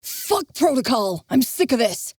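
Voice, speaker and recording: A woman's voice: medium pitch, fairly even intonation, normal speed, a little mellow, dry recording, studio quality, lightly acted. Hot-tempered brother who snaps at others, as a woman reads him. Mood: raging. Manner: snapping, raging. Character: hot-tempered young man, protective brother.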